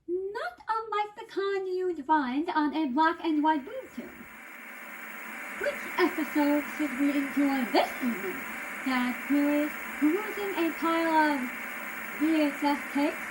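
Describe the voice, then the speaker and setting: Female comic monologist, extremely high voice, unhurried delivery, playful and theatrical. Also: slight background noise, poor audio. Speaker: monologue performer.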